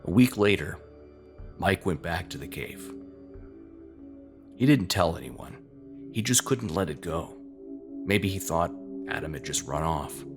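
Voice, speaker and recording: Deep, gravelly male horror narrator. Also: animated. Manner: slow, ominous, suspenseful, dropping into breathy near-whispers. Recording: loud music under the voice. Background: music.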